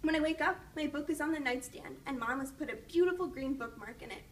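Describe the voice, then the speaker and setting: High-voiced woman reading a storybook aloud. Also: fast talker. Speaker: student teacher reading aloud to children. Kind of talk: children's storybook read-aloud with questions. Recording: distant mic with faint background noise.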